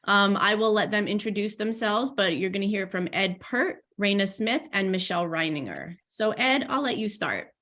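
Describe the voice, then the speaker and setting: A woman's voice: medium pitch, expressive, moderate pace, hardly any pauses, a bit bright. A woman hosting a video-call briefing as program director, a little stuffy from a cold, upbeat with small restarts.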